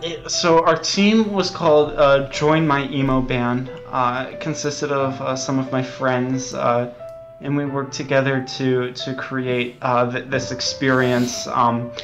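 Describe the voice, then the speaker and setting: A man's voice: medium pitch, steady tone, measured pace, fairly bright tone, faint music behind. A man being interviewed as a game developer, explaining things in an earnest, hesitant way.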